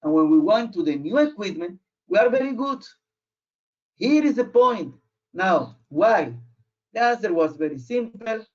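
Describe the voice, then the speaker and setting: A man who is not a native English speaker, giving an explanatory technical presentation in a halting way, searching for words. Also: high-pitched, big pitch swings. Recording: muffled, dull online audio.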